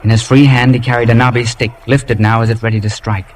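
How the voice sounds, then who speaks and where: An actor playing an earnest young man who narrates an old radio drama in a formal, literary, measured way. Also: mid-range voice, flat delivery, slight background noise, natural voice. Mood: tense. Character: earnest young man recalling boyhood adventure. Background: music.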